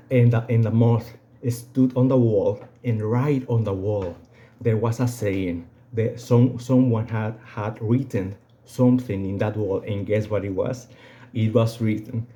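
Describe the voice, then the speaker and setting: Dark-voiced young Venezuelan man, halting delivery. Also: fairly low pitch, highs cut off. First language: Spanish. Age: young adult. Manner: halting, repeats phrases. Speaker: video creator giving personal testimony. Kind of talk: unscripted personal testimony to camera.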